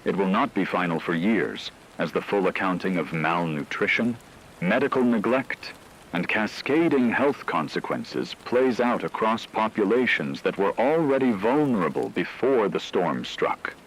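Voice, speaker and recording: A grave actor as a male radio announcer delivers a formal emergency briefing in a low voice. His delivery is measured, solemn and technical, with light noise behind it. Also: lively intonation, low-quality recording. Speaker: broadcast announcer. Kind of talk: scripted formal news briefing.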